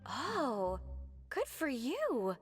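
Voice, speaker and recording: A woman's voice: sits high, wildly swooping pitch, drawn-out words, music in the background, full character voice. A woman playing an aloof, catty young agent in a video game, with a sardonic, drawling manner.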